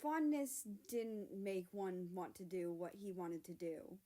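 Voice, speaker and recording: A woman's voice: lowish voice, animated, takes it slowly, dark tone. Woman reading a story aloud. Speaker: narrator reading own fan fiction aloud.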